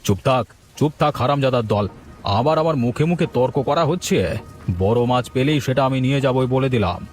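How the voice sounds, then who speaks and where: A man voicing a greedy, overbearing village headman with a scolding manner in an animated story. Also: mid-range voice, a little fast, faint music behind, close to an everyday voice. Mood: angry, threatening. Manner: bullying, scolding.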